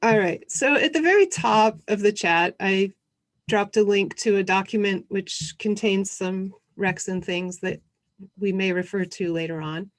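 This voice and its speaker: Woman running an online panel, chatty and informal, kinda halting as she explains stuff. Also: mid-range voice. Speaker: co-host presenting an online convention session. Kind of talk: informal webinar introduction.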